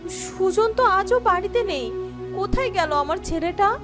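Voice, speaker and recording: A woman's voice: extremely high pitch, lively intonation, normal speed, over music, voice pushed up. A woman voicing a devoted, anxious village mother in a fairy-tale cartoon, fretful and dramatic. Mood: worried.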